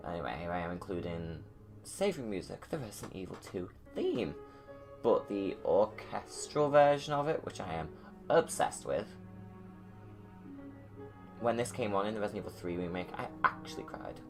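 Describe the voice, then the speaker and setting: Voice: low voice, big pitch swings, moderate pace. A video creator giving casual, rambling commentary on a film in an enthusiastic, gushing fan's manner. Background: music.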